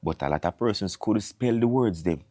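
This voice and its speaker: A man's voice: on the low side, animated, moderate pace, dark tone, no echo, clean studio sound. A man in his thirties from Jamaica with a Jamaican accent, talking casually to camera and mixing patois into his English. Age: 30s. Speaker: vlogger. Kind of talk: casual monologue.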